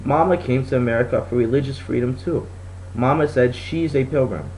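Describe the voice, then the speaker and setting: A man's voice: medium pitch, lively intonation, brisk, darkish tone, natural voice, low-quality recording. A man voicing a shy, earnest young schoolgirl in a storybook reading, explaining herself hesitantly.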